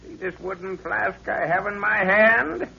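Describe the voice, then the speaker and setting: An actor playing a gloating mad villain in a 1930s radio drama, mocking, theatrical and menacing. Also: male voice, high voice, unhurried, creaky, bright, forceful tone, breathy edge, heavily acted. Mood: smug, threatening. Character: gloating mad villain, male inventor and explosives genius. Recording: muffled, noisy 1930s radio recording.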